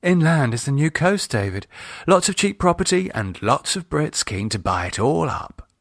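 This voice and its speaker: A man's voice: on the high side, big pitch swings, normal speed, a bit bright, no room sound, studio quality, pitched above the performer's own voice, heavily acted. A man voicing a confident, breezy English woman, a teasing sister, in an audiobook. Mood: breezy, nostalgic. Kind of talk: audiobook character acting.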